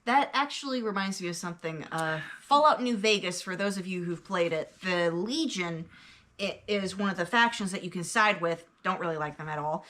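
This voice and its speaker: A woman's voice: on the low side, moderate pace, a bit bright. A woman co-hosting a casual discussion, speaking informally and offering words and brief examples. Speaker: co-host in a discussion. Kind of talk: casual conversation.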